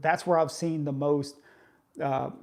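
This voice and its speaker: A man's voice: fairly high pitch, level tone, measured pace, vocal fry, darkish tone. Chatty middle-aged man coaching viewers. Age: 40s. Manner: conversational, coaching, often tags remarks with "right?". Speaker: fitness vlogger. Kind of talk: casual live chat with viewers.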